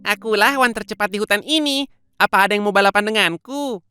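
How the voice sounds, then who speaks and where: A woman's voice: mid-range voice, fast talker, bright, forceful tone, smooth, faint music behind, lightly acted. Woman doing a bragging cartoon hare. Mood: boastful. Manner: bragging, self-satisfied. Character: boastful young male hare. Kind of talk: storybook cartoon voice.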